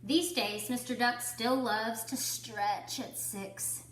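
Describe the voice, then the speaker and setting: A woman reading a children's story to kindergarteners, with deliberate, dramatic phrasing. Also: fairly high pitch, fairly even intonation, a bit bright, roomy sound, a little hiss or hum, low-quality recording. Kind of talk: expressive children's story narration.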